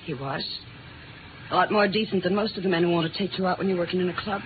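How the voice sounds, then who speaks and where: A woman's voice: fairly low pitch, fairly even intonation, fast talker, close to an everyday voice. An American actress playing a frightened, fragile young woman with hesitant speech, in 1950s radio drama style. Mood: bitter, subdued. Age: young adult. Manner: hesitant, breaking off and repeating herself. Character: frightened, lonesome young female club worker, haunted and fragile. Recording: tinny, noisy old radio recording.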